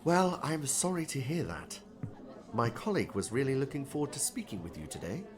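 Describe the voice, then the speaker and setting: A man voicing a polite, genial, mildly dry businessman with a measured, courteous manner in an audiobook. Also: medium pitch, very animated, over music, voice pushed up, close to an everyday voice. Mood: polite regret. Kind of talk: audiobook character dialogue.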